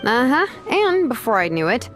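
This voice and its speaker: A woman's voice: fairly high pitch, big pitch swings, moderate pace, a bit bright. Wry, offhand young craftswoman, music behind. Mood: casual, explaining. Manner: straight-faced, wry, offhand. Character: dry, level-headed young craftswoman, a reluctant vice captain. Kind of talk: video game voice acting.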